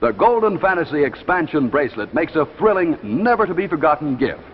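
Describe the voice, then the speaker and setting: A man's voice: on the high side, very animated, a little fast, a little hiss or hum. 1950s American announcer man, swooping emphatic delivery. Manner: polished, emphatic salesman delivery. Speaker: announcer and commercial pitchman. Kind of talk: 1950s television announcing and advertising. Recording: muffled 1950s broadcast with hall reverb.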